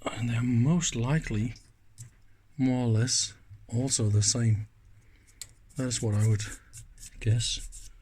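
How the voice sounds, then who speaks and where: Male teardown host, mid-low voice, leisurely pace, a little rough-edged, casual and enthusiastic running commentary. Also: fairly even intonation, slow pace, pauses often, darkish tone. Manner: informal, enthusiastic, exclaiming and thinking aloud. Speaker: host of electronics teardown videos.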